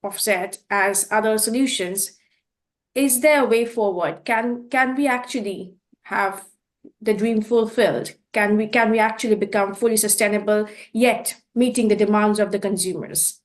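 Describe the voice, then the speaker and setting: A woman's voice: medium pitch, moderate pace. A woman hosting an interview, posing long questions politely with many fillers. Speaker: host.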